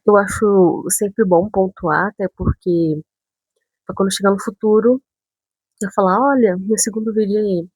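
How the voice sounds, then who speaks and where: A woman in her twenties from São Paulo, chatting casually to camera as a vlogger. She tells a story in an animated way, full of asides and self-corrections, with touches of a northern Brazilian accent. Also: mid-range voice, slightly rough, no room sound, rough recording. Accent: northern Brazilian touches mixed with São Paulo speech. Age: 20s.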